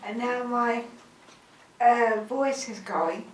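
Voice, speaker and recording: A woman in her sixties, speaking slowly and haltingly in a weak, quiet voice into a handheld mic in an auditorium. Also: a little high, far from the mic. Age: 60s. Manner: slow, halting, effortful speech. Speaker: audience member. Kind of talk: personal statement at a forum. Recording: echoey hall, faint noise.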